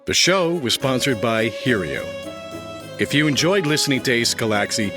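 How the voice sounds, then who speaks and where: A man's voice: mid-range voice, animated, normal speed, over music. A man reading scripted credits as the announcer of an audio drama.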